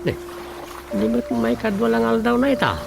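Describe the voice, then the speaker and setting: A man's voice: very high voice, very animated, normal speed, gravelly, over music, exaggerated voice. An actor playing a man who grumbles and muses to himself in a radio drama, with an Ilocano Filipino accent. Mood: casual.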